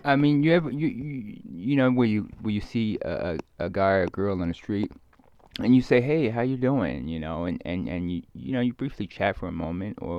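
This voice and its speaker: A man rambling casually in a hesitant, halting, musing way, often trailing off as he thinks aloud. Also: sits low, no room sound. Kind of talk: rambling casual monologue, ASMR roleplay. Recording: dull lo-fi close dry recording.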